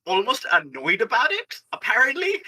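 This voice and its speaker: A man playing a tabletop game, chatting casually and haltingly as he thinks aloud. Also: very high-pitched, huge pitch swings, pushed and bright, rough recording.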